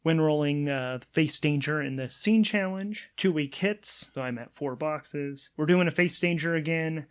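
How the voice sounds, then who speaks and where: A man with a fairly high voice plays a tabletop game by himself. He talks through rolls and story casually, thinking aloud with animated, informal delivery. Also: unhurried, hardly any pauses, clear, resonant tone. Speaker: solo game master and player.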